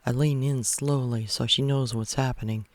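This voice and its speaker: A man's voice: medium pitch, fairly even intonation, normal speed, dry recording. A man narrating a first-person romance story as a read-aloud. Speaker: audiobook-style narrator.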